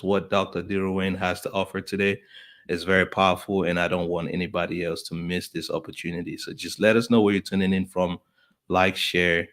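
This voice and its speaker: Chatty lower-voiced podcast host. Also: male voice. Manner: chatty, friendly, lots of fillers; greets viewers by name. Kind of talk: casual live-stream welcome.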